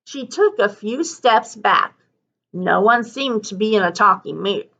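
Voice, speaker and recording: Bright, slow-reading woman storyteller. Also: medium pitch, lively intonation, vocal fry, highs cut off. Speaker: teacher reading a book aloud. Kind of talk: expressive children's story narration.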